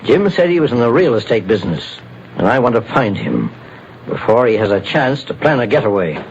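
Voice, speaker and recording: A calm, commanding investigator. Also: male voice, mid-range voice. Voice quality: measured, authoritative. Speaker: detective lead. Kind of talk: 1940s radio acting. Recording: dull lo-fi old radio recording, faint hiss.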